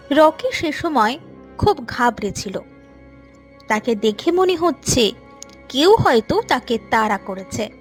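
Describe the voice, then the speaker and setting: A woman narrating a scripted story as the storyteller. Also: high voice. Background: soft music.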